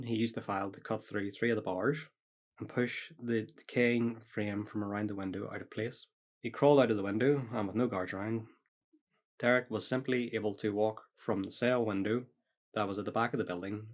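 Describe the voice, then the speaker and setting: A man hosting a podcast, reading out a prepared story in a casual way with offhand asides. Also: on the low side. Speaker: podcast host telling true-or-false stories.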